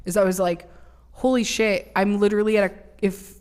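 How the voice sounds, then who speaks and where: A woman's voice: mid-range voice, fairly even intonation, fairly quick, a little mellow. A woman in her late twenties co-hosting a podcast, chatting casually with a teasing, big-sisterly manner and free swearing. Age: 20s. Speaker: podcast co-host. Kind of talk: casual chat.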